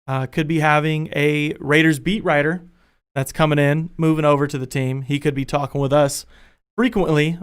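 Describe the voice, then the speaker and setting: A young man hosting a casual sports podcast from his home studio, chatty and energetic, his voice a bit worn. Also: medium pitch, clean tone. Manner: energetic, chatty, thinking aloud. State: losing his voice. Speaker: podcast host.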